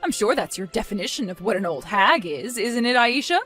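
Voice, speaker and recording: A woman's voice: mid-range voice, very animated, brisk, a bit bright, faint music behind. A woman voicing a stern, disappointed mother nearing retirement age in a dramatized story, scolding with weary firmness. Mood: sarcastic, hurt.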